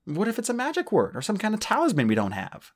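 An easygoing young male dragon with a teasing streak, voiced by a man. He sounds pitched up from the reader's own voice, rising and falling a lot. A storybook read aloud. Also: wildly swooping pitch, fast, exaggerated voice.

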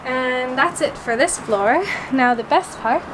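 A woman's voice: high voice, measured pace, background noise. A young woman giving a casual, enthusiastic house tour for a travel vlog, chatting with lots of fillers in a partly open-air cottage. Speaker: travel vlogger. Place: holiday cottage, partly outdoors. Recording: loud music under the voice.

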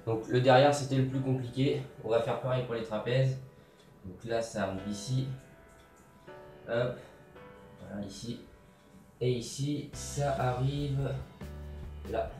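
A young man casually talks through a DIY sewing tutorial while working, relaxed and filling pauses with little interjections. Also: mid-range voice. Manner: relaxed, talks while working, many fillers and "hop, voilà". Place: bare room with grey wall, indoors. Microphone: camera mic from a distance. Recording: roomy echo, distant mic, faint music.

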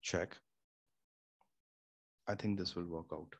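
A man's voice: low-pitched, fairly even intonation, slow, creaky. A young man with an Indian accent, explaining home recording step by step, with many fillers and restarts. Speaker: home studio tutorial host. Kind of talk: casual screen-share tutorial. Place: small home room with air conditioner and fridge nearby. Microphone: studio microphone through an audio interface. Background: faint room hum.

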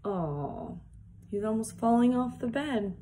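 A young woman reading a picture book aloud for small children, deliberately, with casual asides. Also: on the high side, expressive, mellow. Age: young adult. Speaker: early years worker reading a picture book. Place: small plain indoor room, seated at a desk. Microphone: phone or device propped at arm's length.

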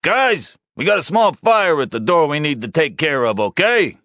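A man doing a commanding fire officer calling out loudly through a door, steady and reassuring, his voice raised higher than a low narrator's usual tone. The sound is dry and close. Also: normal speed, dry recording. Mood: shouting. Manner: shouting orders, reassuring.

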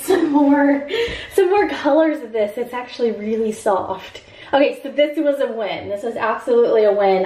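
Chatty woman vlogger, slightly high voice. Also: darkish tone, low-quality recording. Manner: chatty, upbeat, filler-heavy. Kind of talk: casual haul vlog. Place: home bathroom and kitchen, indoors. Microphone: camera on tripod, then handheld.

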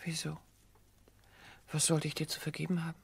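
An actress playing a gentle, self-effacing, dutiful woman in a radio play, speaking softly and hesitantly with a breathy voice. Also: low voice, monotone, normal speed, no room sound, heavily acted. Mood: timid, tender. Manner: soft, hesitant, restrained. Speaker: actress in an audio drama.

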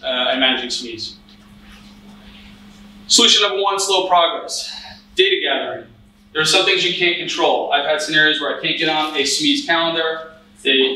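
A man's voice: fairly high pitch, pushed and bright, slight background noise. A man in his thirties giving a conversational conference talk as a cyber risk analyst in a hotel meeting room, with frequent restarts and fillers. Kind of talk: conference presentation. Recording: echoey room from a distance.

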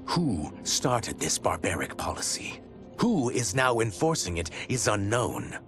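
A man's voice: on the low side, big pitch swings, a little slow. An actor playing a proud, wronged reptilian shape-shifter, narrating his story solemnly for a video game. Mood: grave, resolute. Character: proud, wronged male shape-shifting reptilian mutant emissary vowing justice. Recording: loud music behind the voice.

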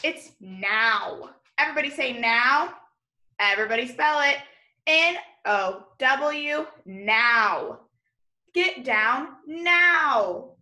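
A young woman teaching small children in a video lesson, animated and encouraging, spelling out and enunciating words as she invites them to repeat. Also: sits high, slow. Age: young adult. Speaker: elementary school teacher. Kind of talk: early-grade video lesson to children, call and response. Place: room in a home, indoors.

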